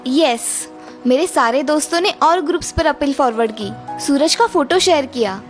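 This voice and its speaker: A woman's voice: high-pitched, lively intonation, normal speed, faint music behind. A woman voicing a kind, capable young daughter-in-law in a children's cartoon story, polite and reassuring. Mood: pleased, assured. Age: young adult.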